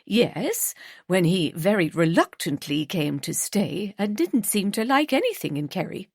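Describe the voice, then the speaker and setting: A woman's voice: medium pitch, big pitch swings, unhurried, husky, no echo, studio quality, heavily acted. A woman reading an audiobook, voicing an older grandmother who is alarmed and frankly outspoken. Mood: blunt. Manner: alarmed then blunt and frank.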